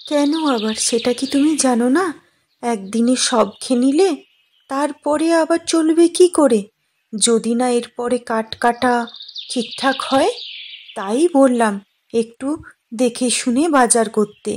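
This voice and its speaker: A woman's voice: fairly high pitch, unhurried, a little mellow, slight background noise, lightly acted. A woman voicing a thrifty, practical old wife who scolds her husband.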